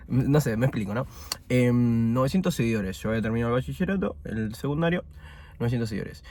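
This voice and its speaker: Twenties man with a Buenos Aires accent, easygoing vlogger chatting between bites, loose and rambling. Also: mid-range voice. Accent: Argentine Spanish, Buenos Aires. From Argentina. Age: 20s. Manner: relaxed and chatty, many fillers, talking with his mouth full at times. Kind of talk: casual first-person vlog while eating. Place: indoors, eating fast food.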